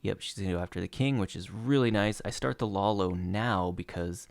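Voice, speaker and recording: Low gravelly guy narrating his replays, voice going, dry close mic. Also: fairly quick, no echo. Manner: relaxed play-by-play with frequent uh fillers. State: losing his voice. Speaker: gaming channel host. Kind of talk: commentating over gameplay replays.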